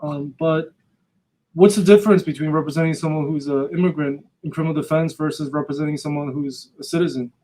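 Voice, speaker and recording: A man's voice: on the high side, fairly even intonation, a little fast, dark tone, poor audio. A man hosting an interview podcast, asking questions in a conversational way. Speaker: podcast host, interviewer, attorney.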